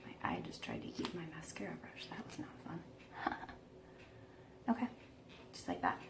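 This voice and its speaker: Woman beauty vlogger with a croaky, gravelly voice, walking through her makeup with self-mocking asides. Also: low voice, wildly swooping pitch, moderate pace, whispered. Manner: chatty, self-deprecating, laughs at herself. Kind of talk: casual makeup tutorial.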